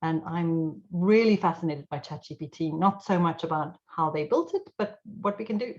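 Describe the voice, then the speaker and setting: A middle-aged woman, an IT consultant and guest speaker, telling her story in an animated way over a video call. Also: fairly low pitch, normal speed. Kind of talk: casual webinar talk. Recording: slightly dull lo-fi audio.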